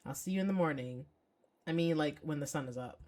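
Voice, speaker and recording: A woman's voice: sits low, a little fast, a little mellow, lightly acted. A woman voicing a caring but firm young woman, an earnest, soothing best friend in a visual novel. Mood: weary, conciliatory.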